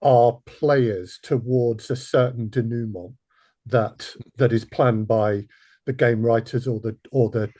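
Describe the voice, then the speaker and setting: A man's voice: medium pitch, slow, dark tone, no echo. A man hosting a panel discussion, phrasing his points tentatively and hesitantly as he works through them. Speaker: host.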